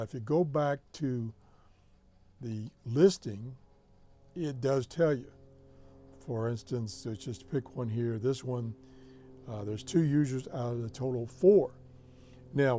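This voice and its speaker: A man's voice: medium pitch, mellow. An American man hosting a hobby show, giving a casual, plain-spoken tutorial step by step over a screen recording. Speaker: host of a shortwave radio hobby show.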